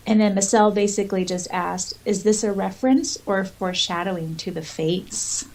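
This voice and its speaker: A woman's voice: mid-range voice, moderate pace, darkish tone, low-quality recording. A woman co-hosting a podcast, discussing a story casually and reading passages from it aloud. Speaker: podcast co-host and audiobook narrator.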